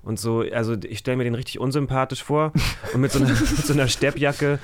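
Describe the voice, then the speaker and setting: A man in casual conversation as a podcast guest. His voice is mid-pitched and very dry in sound, and he talks informally with youthful slang. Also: fairly even intonation, quick pace, no room sound. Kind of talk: casual chat.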